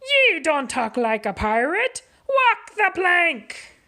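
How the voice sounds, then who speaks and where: An impatient pirate captain from a picture book barks out pirate slang and rhyming chants, as a woman puts him on. The voice sits a bit high and bright, and the delivery is slow and emphatic. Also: gravelly, full character voice. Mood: blustering. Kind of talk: storybook read-aloud.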